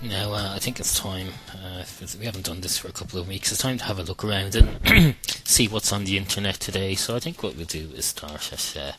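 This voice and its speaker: A very deep-voiced man hosts his own podcast in a loose, rambling comic monologue. He is dryly sarcastic and swears now and then, stumbling through ums and repeated words as he goes. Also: animated, a little fast, music in the background, low-quality recording. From Ireland. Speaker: podcast host.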